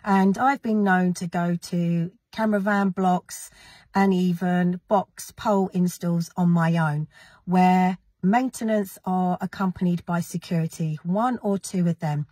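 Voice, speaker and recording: Woman vlogger, gravelly post-illness voice, husky tone, indignant and emphatic. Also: fairly low pitch, steady tone, a little slow, a little mellow, no echo. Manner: indignant, emphatic, addressing critics directly. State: gravelly, recovering from illness, with a cough. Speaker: activist vlogger. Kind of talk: direct-to-camera vlog.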